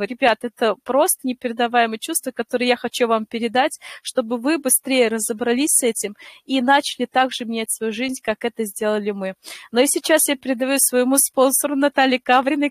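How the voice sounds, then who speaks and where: Hoarse, earnest woman telling her story. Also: fairly high pitch, dry recording, clean studio sound. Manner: earnest, excited, many fillers and restarts. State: hoarse today. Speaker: network-marketing member giving a testimonial. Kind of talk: emotional personal testimony in an online voice chat.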